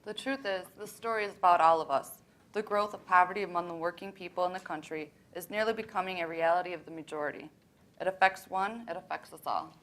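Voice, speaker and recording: A woman's voice: on the low side, fast, fairly bright tone, natural voice, rough recording. A young woman acting out scripted monologue lines in a student readers theater show. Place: college library event. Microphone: worn microphone.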